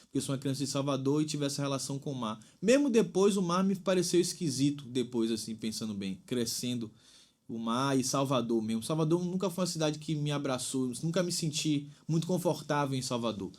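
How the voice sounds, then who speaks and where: Convidado baiano, cantor, tom médio, divaga com calma e muito "né?". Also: male voice, mid-range voice, a little fast. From Bahia, Brazil. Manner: thoughtful, rambling with frequent "né?". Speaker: singer-songwriter guest. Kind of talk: reflective interview talk.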